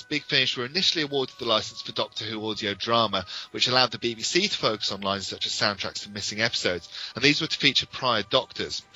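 A man with a very bright, crisp-edged voice co-hosts a review podcast with faint music behind him. He talks in detail, bookish and opinionated, moving between casual remarks and prepared history. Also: mid-range voice, dull audio. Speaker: podcast co-host. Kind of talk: informal review talk with prepared history segments.

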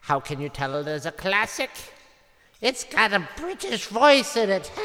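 An actor playing a cackling, tipsy old horror host with a theatrical, drawn-out, campy delivery. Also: male voice, sits high, big pitch swings, pushed and bright, a little hiss or hum, exaggerated voice. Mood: gleefully macabre. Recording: long cavernous reverb.

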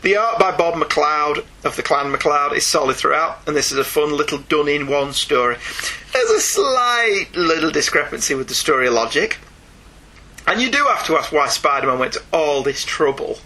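Bright-voiced British man reviewing comics. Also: a little high, very animated, normal speed. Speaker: podcast host reviewing comics. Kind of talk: comic review, part scripted narration, part casual banter.

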